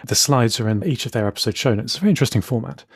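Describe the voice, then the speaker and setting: A man co-hosting a casual podcast, explaining his tools with enthusiasm and quick asides. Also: mid-range voice, breathy edge, no room sound, clean studio sound. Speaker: podcast co-host. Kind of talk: casual chat.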